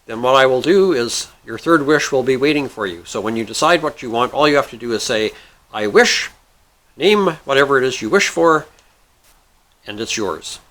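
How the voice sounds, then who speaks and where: A man's voice: medium pitch, lively intonation, normal speed, fairly bright tone, lightly acted. Guy doing a grumpy formal genie from a joke story, stately drawn out talk, just wants his sleep. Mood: businesslike. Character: slumbering male genie, formal, weary and grudging.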